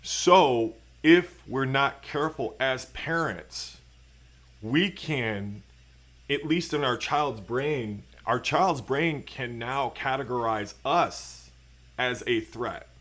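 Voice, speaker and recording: A man hosts the conversation, speaking slowly and deliberately as he restates what his guest has said. His pitch is ordinary for a man, and he takes his time with each phrase. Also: fairly bright tone. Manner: measured, restates the guest's points. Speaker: host. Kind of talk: conversational interview.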